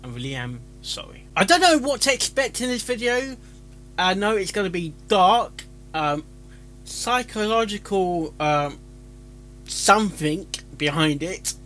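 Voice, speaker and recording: A man hosting a reaction video, chatting casually and breaking in with excitable exclamations and questions. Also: sits high, a little slow, soft background music.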